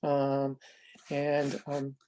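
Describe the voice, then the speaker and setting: An American man presenting an unscripted explanatory demo of a software tool, speaking in a measured, halting way with frequent pauses. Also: medium pitch, completely flat delivery, clear, resonant tone, low-quality recording.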